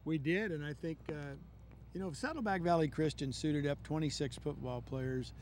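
A man's voice: a little high, moderate pace, a little mellow, a little hiss or hum. An older man acting as coach analyst on a post-game football show outdoors at night, speaking measuredly into a handheld mic with frequent fillers.

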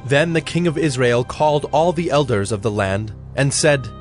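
A man narrating an audio Bible as a scripted, measured storyteller. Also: mid-range voice, animated. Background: music.

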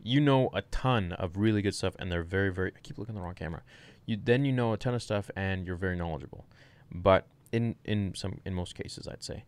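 Young male fitness streamer, low voice, frank off-the-cuff Q&A, close desk mic in a small room. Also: brisk, few pauses, clear, resonant tone, no echo. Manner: rambling, frank, swears casually. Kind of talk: casual livestream Q&A.

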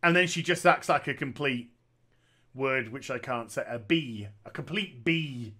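A man's voice: medium pitch, a little slow, sharp tone. A man, giving sarcastic, opinionated commentary on a game from a small room, speaking into a headset. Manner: sarcastic, opinionated, jokey asides. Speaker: gaming commentator.

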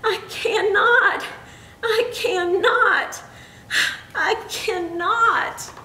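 A woman putting on a very slow, weary old train engine. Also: very high-pitched, sharp tone, pitched above the performer's own voice, exaggerated voice. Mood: weary, defeated. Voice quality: drawn-out, sighing delivery. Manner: weary, repeating refusals slowly. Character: weary, rusty old male train engine, sighing and defeated. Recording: echoey room with faint noise.

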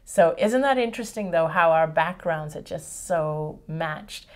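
Chatty woman host, slightly low voice. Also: expressive, measured pace. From Hong Kong. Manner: conversational, searches for words, laughs easily. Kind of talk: casual chat. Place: indoor studio set with a painted brick wall.

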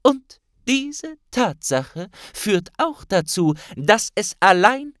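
A man's voice: high voice, sing-song, slow, fairly bright tone, dry recording, studio quality, voice pushed up, heavily acted. A man voicing a stern, accusing wizard grandmaster, pompous and declamatory, in a storybook reading.

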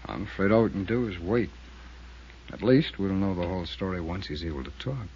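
An actor playing an earnest, worried city attorney in 1950s radio drama style. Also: male voice, sits low, lively intonation, a little fast, a little mellow. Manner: earnest and worried, repeating himself. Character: fretful male city attorney. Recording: hissy muffled old radio recording.

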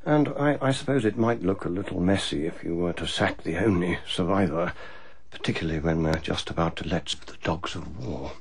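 An actor playing a world-weary, haughty senior civil servant in a radio drama, with an urbane, sardonic English accent. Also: male voice, low-pitched, a little fast, breathy edge. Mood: deferential, cautious.

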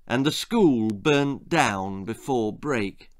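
Male storyteller, sixties, slow and theatrical, wide swings in pitch, for grisly children's fiction. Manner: expressive, deliberate, theatrical. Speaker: author reading his own stories. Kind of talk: dramatic children's storytelling, scripted narration. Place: small book-lined room indoors.

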